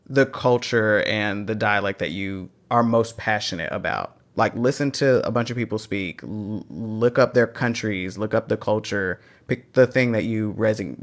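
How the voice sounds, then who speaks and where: Encouraging Texan man, lowish voice. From Texas. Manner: encouraging, reads out and answers chat questions. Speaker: language teacher hosting a live Q&A. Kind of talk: casual livestream Q&A. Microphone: phone.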